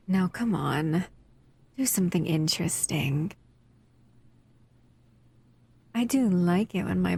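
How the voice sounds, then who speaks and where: A menacing humanoid monster, voiced by a woman, bored and predatory. She speaks slowly and condescendingly, with a gravelly, rough edge in a lowish register, as in an audio roleplay. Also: darkish tone, full character voice. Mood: toying. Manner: slow, teasing and condescending.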